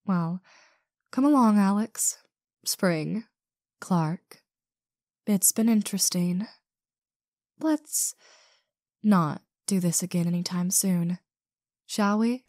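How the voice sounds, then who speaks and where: Woman acting a haughty, low-voiced diva actress. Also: darkish tone, dry recording, clean studio sound. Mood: imperious. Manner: cutting, condescending, deliberate. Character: haughty, sardonic actress, commanding and impatient. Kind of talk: scripted ASMR audio drama.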